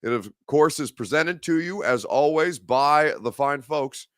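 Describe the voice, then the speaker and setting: A man hosting a live-stream sports talk show, hoarse and gravelly from a head cold, rambling and conversational as he reads viewer comments. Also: a little high, animated, a little slow, fairly bright tone, dry recording, clean studio sound. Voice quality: gravelly, growly.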